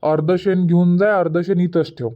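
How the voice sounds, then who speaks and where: A man's voice: sits high, steady tone, normal speed, close to an everyday voice. A man voicing an impatient, stubborn village boy in a cartoon comedy, whiny and argumentative, with a Varhadi Marathi accent. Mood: bargaining. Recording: dull, muffled lo-fi audio.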